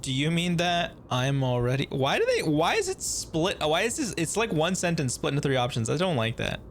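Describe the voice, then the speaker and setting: A streamer reacting casually to a game and reading its dialogue aloud, expressive, slangy and exclamatory. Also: medium pitch, brisk, slight background noise. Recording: game music behind the voice.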